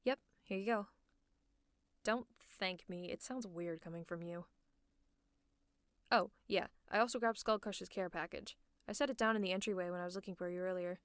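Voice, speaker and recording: A woman playing a sardonic female supervillain in an ASMR roleplay, teasing and scolding the listener in a gruffly caring way. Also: fairly low pitch, normal speed, no echo, studio quality, close to an everyday voice. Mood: grudging care. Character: sardonic female supervillain, gruffly fussing over a sick hero. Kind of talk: ASMR roleplay, one-sided dialogue to the listener. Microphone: close mic, dry.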